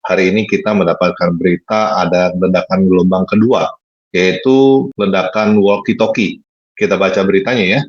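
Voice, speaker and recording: Male online news reader, rough husky tone, slightly dull lo-fi recording. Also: sits low, steady tone, moderate pace. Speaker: online news commentator and host. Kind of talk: reading news articles aloud with casual asides to viewers. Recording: roomy echo, dull lo-fi sound.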